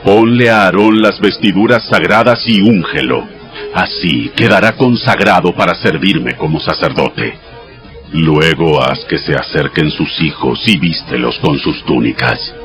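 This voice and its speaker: A man playing God giving solemn, measured commands with authority in a dramatized scripture audio production. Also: low-pitched. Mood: solemn, commanding. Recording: loud music bed under voice. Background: music.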